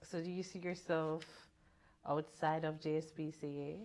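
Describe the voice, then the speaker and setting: A woman with a notably low voice hosts a TV studio interview. She speaks in a measured way, keeping her prompts brief. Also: steady tone, darkish tone. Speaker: TV interview host. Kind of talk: TV interview hosting. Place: TV news studio.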